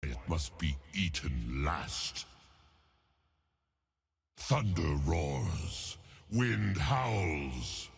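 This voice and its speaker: A man voicing an ancient, huge, wrathful bear god in a video game, with a deep gravelly growl and slow, grandiose, menacing proclamations. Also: lively intonation, exaggerated voice. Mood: grim, brooding. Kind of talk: video game character voice lines.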